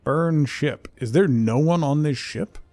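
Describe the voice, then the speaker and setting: A man's voice: a little high, a little slow, darkish tone. A man giving casual live game commentary, playfully muttering asides and short exclamations as he chats to viewers. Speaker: game streamer.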